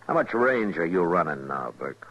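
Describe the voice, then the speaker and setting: A weary old west marshal, real low husky american voice, terse, muffled old radio drama. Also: male voice, sing-song, exaggerated voice. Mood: challenging. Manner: measured, terse, quietly challenging. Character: weary, fair-minded frontier marshal, adult man. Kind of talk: old-time radio western drama acting.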